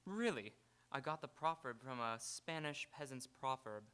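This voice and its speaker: Man acting a bright-voiced, wry, awkward writer. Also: mid-range voice, lively intonation, normal speed, clear, resonant tone, close to an everyday voice. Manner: hesitant, wry. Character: wry, working-class writer, awkward among the rich. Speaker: stage radio actor. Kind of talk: live radio theatre acting. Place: live on an auditorium stage.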